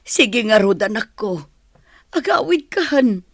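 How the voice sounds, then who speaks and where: A woman's voice: a little high, big pitch swings, measured pace, no echo, heavily acted. A woman with a Filipino accent playing an anxious, loving mother of a grown son in an audio drama. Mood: worried, pleading.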